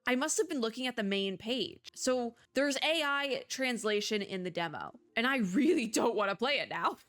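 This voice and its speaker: A woman's voice: fairly high pitch, lively intonation, normal speed, a bit bright. Chatty woman hosting game videos. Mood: annoyed. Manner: chatty, rambling, informal. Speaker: video game demo host. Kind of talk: casual unscripted game commentary.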